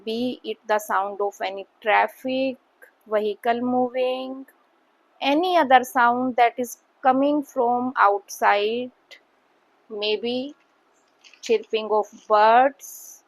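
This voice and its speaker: A woman with an Indian accent leads a guided meditation as an instructor, speaking calmly in short instructions with long pauses. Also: on the high side, rough recording.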